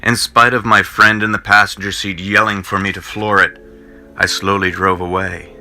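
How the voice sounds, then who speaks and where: A man narrating a first-person ghost story in old-time radio style, with measured, dramatic, suspenseful delivery. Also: fairly low pitch, flat delivery, bright, forceful tone. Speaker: narrator and host of a paranormal show.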